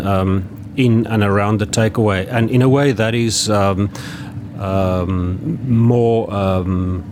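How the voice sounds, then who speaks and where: Hesitant South African man, lowish voice. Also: noisy background. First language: Afrikaans. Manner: hesitant, many ums and restarts. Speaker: author. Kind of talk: festival interview.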